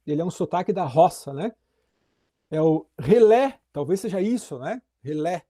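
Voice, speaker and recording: High, mellow-voiced law professor. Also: male voice. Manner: conversational, addresses students directly with frequent tag questions. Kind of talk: recorded lecture on legal theory.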